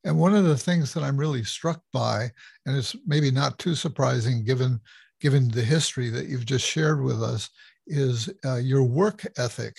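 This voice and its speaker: Gravelly, husky man hosting an interview. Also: medium pitch, normal speed, a little mellow, dry recording. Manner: hesitant, many fillers, appreciative. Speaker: interview host. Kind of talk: interview questions.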